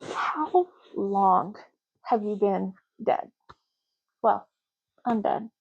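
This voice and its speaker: A soft-spoken woman doing an ASMR roleplay as a clerk, talking casually and matter-of-factly in unhurried short phrases with pauses. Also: medium pitch, expressive, creaky, husky, muffled recording. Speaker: ASMR roleplay host, playing an intake clerk making ID cards.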